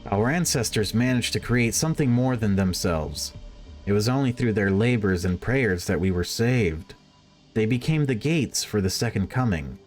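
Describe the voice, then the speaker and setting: A man voicing a stern, condescending official who preaches machine worship in formal sermons, read aloud from a horror visual novel. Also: lowish voice. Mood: fervent preaching.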